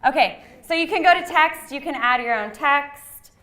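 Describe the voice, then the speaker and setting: A woman's voice: sits high, expressive, normal speed. Chatty woman presenter in an echoey room. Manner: chatty, frequent ums, loud voice. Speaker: workshop presenter. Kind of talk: live training presentation. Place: meeting room with a live audience. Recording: roomy echoey hall sound.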